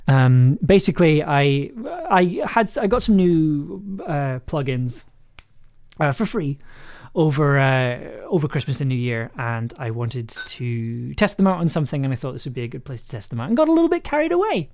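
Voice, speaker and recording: A man with a raspy, throaty voice, talking casually and rambling through a livestream while producing an audio drama. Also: on the high side, very animated, normal speed, a little mellow. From United Kingdom. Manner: rambling, many restarts and fillers. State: raspy, throaty voice after illness. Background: music.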